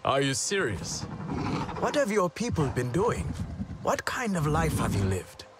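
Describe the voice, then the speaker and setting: An actor playing a boastful, impatient alien hunter in a video game, proud and grandiose. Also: male voice, on the high side, huge pitch swings, a little slow. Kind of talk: video game cutscene acting. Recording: voice over prominent game music. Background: music.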